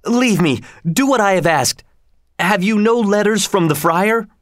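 A man's voice: high-pitched, animated, a little slow, no room sound, clean studio sound. A man reading aloud, voicing an impulsive young lover who talks with urgency. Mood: urgent. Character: young male lover, passionate and rash.

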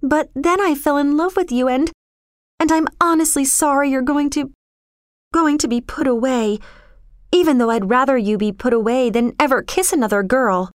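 A woman's voice: sits high, expressive, moderate pace, no echo, clean studio sound, pitched above the performer's own voice. A woman storyteller voicing a spoiled rich young girl, emotional and blithely callous, in a dramatic, pleading manner. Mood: earnest, wistful.

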